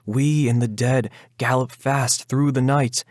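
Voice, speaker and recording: An undead rider come for his bride, acted out by a man, imperious and darkly ominous. The words are archaic and urgent, delivered as Gothic ballad drama at an unhurried pace, very close and dry. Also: mid-range voice, steady tone, a little mellow, dry recording, voice pushed up, lightly acted. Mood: ominous, insistent.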